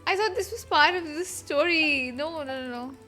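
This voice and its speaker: A woman game streamer reacting casually and haltingly to a live playthrough, muttering to herself over game music. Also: high voice, bright, forceful tone.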